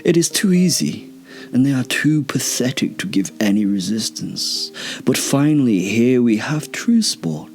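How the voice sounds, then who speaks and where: A man voicing an arrogant, bloodthirsty thousand-year-old female vampire in a story, with slow, theatrical disdain. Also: medium pitch, animated, a little mellow, soft background music, voice pushed up, lightly acted. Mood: predatory.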